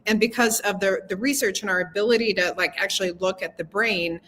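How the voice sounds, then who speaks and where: A woman's voice: medium pitch, quick pace, a bit bright, poor audio. A middle-aged woman hosting a live video conversation, speaking reflectively with frequent restarts. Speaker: host. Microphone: video call.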